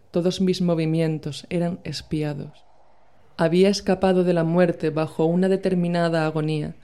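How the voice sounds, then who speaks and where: A woman narrating a dark classic horror story in a measured, grave, deliberate reading. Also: fairly low pitch. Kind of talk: scripted dramatic story reading.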